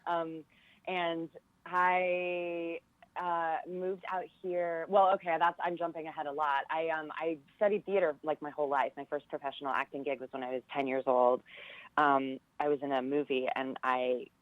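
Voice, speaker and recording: A woman's voice: sits low, steady tone, moderate pace, no echo, phone-quality sound. An American woman in her forties chatting casually and telling stories as an interview guest. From California, United States. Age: 40s. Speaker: interview guest, theater professor and director. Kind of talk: casual chat, personal storytelling.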